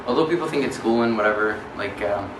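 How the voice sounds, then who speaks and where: A teenage boy from Egypt, a high school student who rambles a little and fills his answers with likes. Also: fast talker, roomy sound, recorded from a distance, background noise. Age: teens. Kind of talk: casual interview answers.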